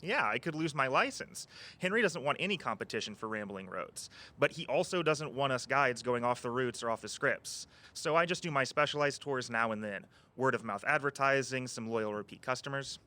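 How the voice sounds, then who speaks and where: An actor playing a playful, theatrical tour guide with a flowery turn of phrase in an audio drama. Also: male voice, medium pitch, lively intonation, fairly quick, sharp tone. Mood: confiding. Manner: grandiose mock-archaic speech.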